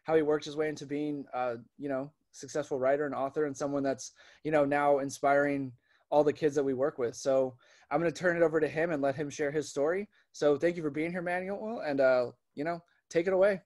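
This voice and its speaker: A man's voice: on the high side, fairly even intonation, fairly quick, darkish tone. A man in his thirties hosting an interview on a video call, casual and upbeat with fillers. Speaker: host. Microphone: webcam on a video call.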